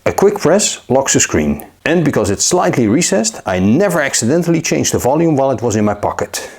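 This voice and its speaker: A man narrating a scripted gadget review in a measured, upbeat way. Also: mid-range voice, big pitch swings, gravelly, darkish tone. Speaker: tech reviewer.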